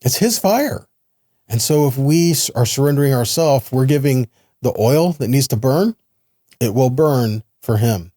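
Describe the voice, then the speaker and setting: Guy preaching and teaching from scripture, earnest, asks questions then answers them, kinda soft-edged tone, really dry recording. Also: medium pitch, lively intonation, measured pace, no room sound. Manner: earnest, emphatic, explanatory, with rhetorical questions. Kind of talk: sermon teaching with scripture readings.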